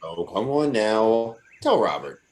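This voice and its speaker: Man acting adoring young sweetheart, lively intonation. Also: fairly low pitch, slow. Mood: teasing, coaxing. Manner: sweet-talking, coaxing. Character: adoring, playful young fiancé, boyishly earnest. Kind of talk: old-time radio drama acting.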